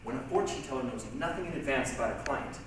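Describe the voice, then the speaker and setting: A noisy, very echoey stage recording, distant from the mic. A man plays a portentous male fortune teller, mid-pitched, stern and emphatic in readers' theatre recitation. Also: fast talker, natural voice.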